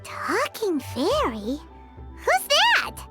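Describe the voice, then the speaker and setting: A woman voicing a tiny, cheeky talking fairy in a video game, with a squeaky childlike voice and blunt, cutesy manner. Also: wildly swooping pitch, drawn-out words, exaggerated voice. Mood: curious, impatient. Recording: loud game music behind.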